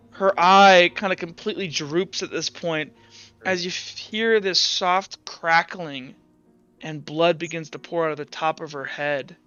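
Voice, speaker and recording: High, bright-voiced man narrating a game. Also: smooth, dry recording, highs cut off. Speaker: game master. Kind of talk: improvised narration of a tabletop game. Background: music.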